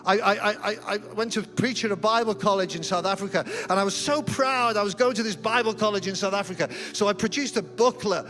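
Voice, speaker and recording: A middle-aged male pastor with a notably high voice, preaching in a church. He tells humorous, self-deprecating stories. Music plays clearly underneath. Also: fairly quick, few pauses. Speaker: senior pastor. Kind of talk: sermon. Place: church auditorium.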